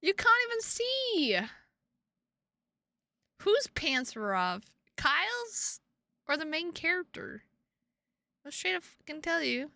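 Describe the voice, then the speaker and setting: A woman live streaming games, chatting casually with viewers in an excitable, sweary way and repeating her phrases for emphasis. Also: very high-pitched, slow pace, creaky, pauses often, no echo. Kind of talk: casual livestream chat with viewers.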